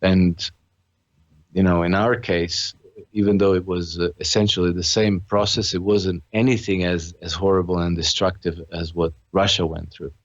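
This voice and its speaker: A man's voice: sits low, little pitch movement, moderate pace, dry recording, highs cut off. A man from Croatia, a podcast guest who trades and writes, talking earnestly in a conversational interview with frequent restarts and fillers.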